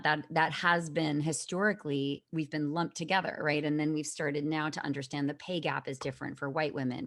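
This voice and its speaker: A woman's voice: low voice, fairly bright tone, dry recording, clean studio sound. A woman speaking as a guest in a conversational interview, thoughtful and earnest, with frequent fillers, heard over a video call.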